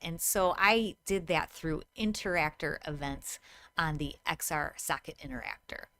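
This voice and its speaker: A woman's voice: deep voice, measured pace, bright, forceful tone, no room sound, soft background music. Woman explaining things in a conversational tutorial. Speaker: host, VR game designer.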